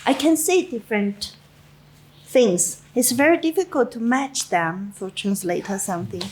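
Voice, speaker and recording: A middle-aged woman with a Chinese accent speaks English at an unhurried, measured pace. Her voice sits fairly high for a woman. She pauses often on fillers and breaks into soft little laughs as she reminisces in an interview. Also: expressive, a little hiss or hum, low-quality recording. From China. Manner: halting non-native English with frequent fillers and soft laughs. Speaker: interviewee, winemaker.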